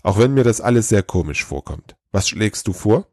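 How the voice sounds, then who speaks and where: Man as a weary, low-voiced old Santa Claus. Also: lively intonation, moderate pace, a little mellow, no echo, studio quality. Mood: weary, kindly.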